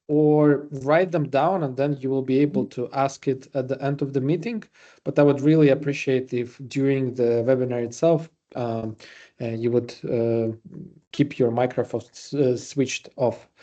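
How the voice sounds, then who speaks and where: Latvian guy hosting a webinar, soft mellow voice, informal, pauses a fair bit. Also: medium pitch, dull audio. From Riga, Latvia. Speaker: webinar host and presenter. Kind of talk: semi-scripted webinar presentation.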